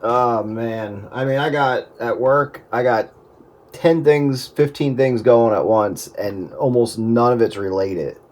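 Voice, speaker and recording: A man's voice: mid-range voice, slow pace, a little mellow, a little hiss or hum. A middle-aged man chatting casually over amateur radio in a rambling way, often restarting his sentences.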